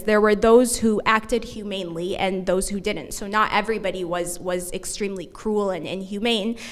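A young woman presents an academic paper at a podium microphone. Her delivery is measured and steady, with a few small restarts as she reads from her notes, and her voice sits at an ordinary middle pitch. A long reverb from a large conference hall trails behind her words.

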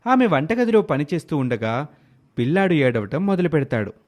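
A man's voice: a little high, very animated, moderate pace, darkish tone. A man telling a scripted story as its narrator, acting out bits of dialogue.

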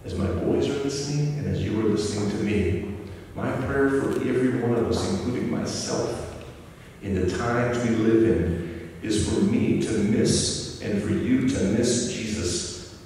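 An older man preaching a sermon with passion, then praying softly. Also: on the low side, unhurried, a little hiss or hum. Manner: impassioned preaching, rising to emphatic, then hushed prayer. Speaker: pastor. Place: church sanctuary, large room. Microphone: far room mic. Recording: echoey church from far off.

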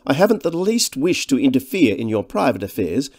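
Man playing a hesitant, mildly indignant gentleman, slightly raised voice. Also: medium pitch, moderate pace, voice pushed up, close to an everyday voice. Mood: mild indignation. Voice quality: unimpressive, unauthoritative.

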